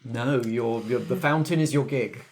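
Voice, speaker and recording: A man's voice: medium pitch, a little slow. A man acting as game master, casually explaining the game and thinking aloud as he goes. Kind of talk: casual tabletop game facilitation, scripted credits at the end.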